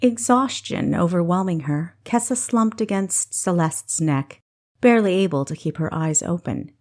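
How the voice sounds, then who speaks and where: A woman's voice: fairly low pitch, expressive, normal speed, darkish tone. A woman narrating a novel as an audiobook, reading expressively from a script.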